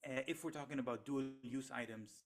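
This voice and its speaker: A middle-aged man whose first language is Hebrew, a researcher explaining his work in an interview with steady, hesitant pacing and frequent pauses and restarts. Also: mid-range voice. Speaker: economist and researcher, interview guest.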